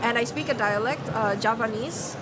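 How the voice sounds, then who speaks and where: A woman's voice: on the high side, normal speed, over a noisy crowd. A woman from Indonesia answering a street interview in a careful, explanatory way. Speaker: passerby interviewee. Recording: loud outdoor street noise.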